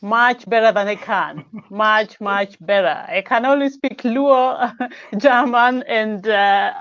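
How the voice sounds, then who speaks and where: A woman's voice: medium pitch, slow, smooth, no echo. Upbeat woman host on a call. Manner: upbeat, affirming, laughs often. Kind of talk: casual video call chat. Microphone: video call. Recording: dull lo-fi call audio.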